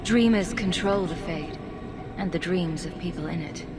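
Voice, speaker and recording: An actress playing a calm, diplomatic heroine in a fantasy video game, speaking in a measured, gentle way. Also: female voice, lowish voice, lightly acted. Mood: composed, kind. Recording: noisy game ambience.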